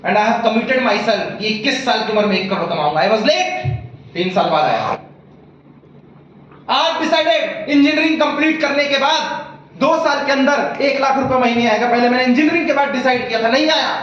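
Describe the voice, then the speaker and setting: High-pitched man in an echoey hall. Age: 20s. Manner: animated storytelling, acting out voices and frog croaks; mixes English phrases into Hindi. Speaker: motivational speaker. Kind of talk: motivational stage talk to an audience. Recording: echoey hall, muffled, light noise.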